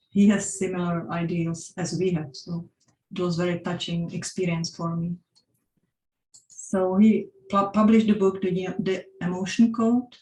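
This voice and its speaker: A woman's voice: fairly low pitch, a little mellow, reverberant, rough recording. A woman with a Czech accent presenting a workshop talk with slides, stopping often to restart her sentences. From Czech Republic. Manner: hesitant, frequent restarts and fillers.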